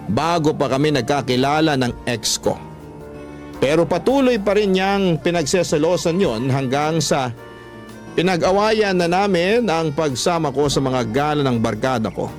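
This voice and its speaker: A man, a radio host reading a listener's letter as scripted first-person story narration. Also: fairly high pitch.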